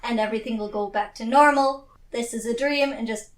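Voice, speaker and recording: A woman's voice: a little high, fairly quick, crowd noise. A woman casually describing her character's actions as a player in a tabletop game. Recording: echoey room, slightly distant mic.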